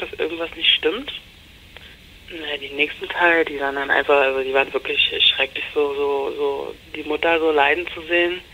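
A woman's voice: sits low, bright, forceful tone. A woman being interviewed over the telephone, casually recalling past events, on a slightly noisy call. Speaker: interviewee, relative of a missing person. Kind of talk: casual recollection.

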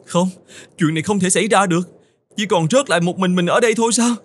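A man voicing an excitable, self-pitying young male camel in an animated fairy tale, exclaiming and talking to himself. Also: high voice, fast. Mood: anxious, self-reassuring. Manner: exclamatory, whining, talks to himself.

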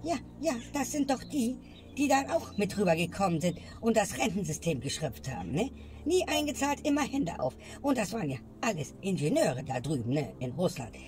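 A woman's voice: medium pitch, big pitch swings, fairly quick. A woman ranting in a comedy sketch and ending her lines with a questioning tag. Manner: grumbling, tags lines with "ne?". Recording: music bed behind voice. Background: music.

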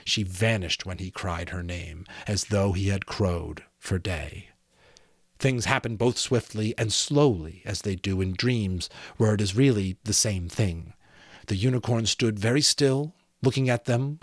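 A man in his thirties reads a fantasy novel aloud as an expressive, dramatic audiobook narrator, close to a desk microphone in a small room. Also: lowish voice, measured pace, no room sound. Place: small home room with sloped ceiling, indoors.

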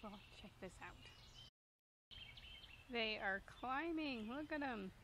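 A woman's voice: on the high side, animated, takes it slowly, sharp tone. A woman in her thirties giving a casual garden tour, talking into a handheld phone outdoors. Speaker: gardening vlogger. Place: outdoors in a backyard vegetable garden. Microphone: handheld phone at arm's length. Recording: noisy outdoor phone recording.

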